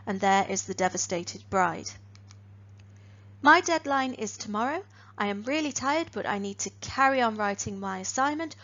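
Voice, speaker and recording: A woman teaching phrasal verbs in an online lesson. She speaks in a clear, deliberate way and lifts each new phrasal verb. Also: mid-range voice, fairly bright tone, dull audio. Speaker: English teacher.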